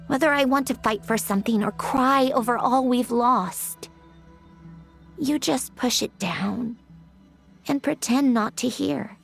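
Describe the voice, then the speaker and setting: An actress playing a weary, sardonic female inventor in a video game, speaking slowly with a dry, sighing delivery. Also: a little high, vocal fry. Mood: wistful. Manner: dry, sighing, slow and reflective. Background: soft game music.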